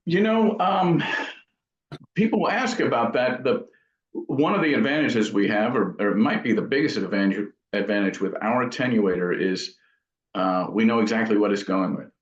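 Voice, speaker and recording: A man talking casually as an interviewed amp builder, explaining technical details informally in a thoughtful, halting way. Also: lowish voice. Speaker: amp builder and company founder being interviewed. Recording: dull lo-fi sound in an echoey room.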